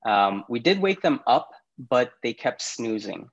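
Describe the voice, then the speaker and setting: A man's voice: mid-range voice, very animated, a little slow, lo-fi sound. A man from Pakistan, chatting easily as a podcast guest, laughing often between thoughts. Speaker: podcast guest, startup CEO. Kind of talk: casual interview conversation.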